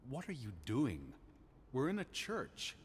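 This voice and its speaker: An actor playing a stern, learned 1920s American naturalist in a video game, earnest and skeptical, speaking precisely and formally. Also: male voice, mid-range voice, wildly swooping pitch, slow pace, slight background noise. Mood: disapproving.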